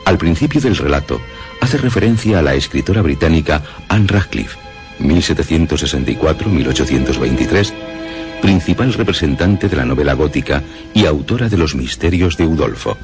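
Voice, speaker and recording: A man presenting a radio drama in a measured, formal, scripted way. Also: extremely low pitch, expressive. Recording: music under the voice.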